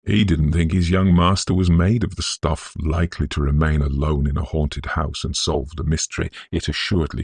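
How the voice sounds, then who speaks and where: A man narrating a Victorian ghost story in a scholarly, measured, theatrical manner. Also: rumbling low, vocal fry, a little mellow, breathy edge, no room sound. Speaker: audiobook narrator.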